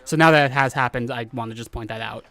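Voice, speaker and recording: A soft-spoken man chatting casually while streaming a game and reading chat comments aloud. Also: medium pitch, fast talker.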